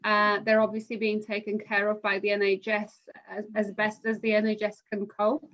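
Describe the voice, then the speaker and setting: A woman from Poland, a university recruitment manager, speaking in a measured, hesitant way with many fillers during a live admissions session from home over a video call. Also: medium pitch, completely flat delivery. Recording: muffled lo-fi online call audio.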